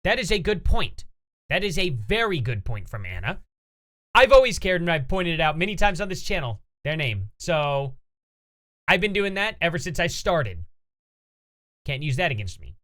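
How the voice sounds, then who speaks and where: A man's voice: fairly high pitch, sing-song, normal speed, fairly bright tone. A man hosting a casual reaction commentary, opinionated and rambling as he reads posts aloud. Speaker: commentary channel host.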